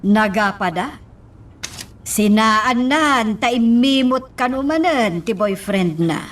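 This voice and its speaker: A protective, indignant mother in a radio drama. She speaks slowly with big emotional swings and hesitant interjections. The mic sits a little far off with faint noise behind. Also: on the high side, expressive, a bit bright. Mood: explaining. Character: mother defending her daughter, indignant and protective. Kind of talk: radio drama acting in Ilocano. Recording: slightly distant mic, faint background noise.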